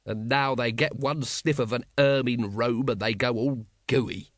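A man's voice: medium pitch, fairly even intonation, measured pace, dry recording, studio quality, lightly acted. A man voicing a gloomy, exasperated city guard captain with an English accent in an audiobook. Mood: gloomy, puzzled.

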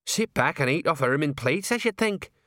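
A man's voice: fairly high pitch, expressive, moderate pace, fairly bright tone, dry recording, clean studio sound, voice pushed up. A man voicing a plodding middle-aged male sergeant who fusses and gossips. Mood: fussing.

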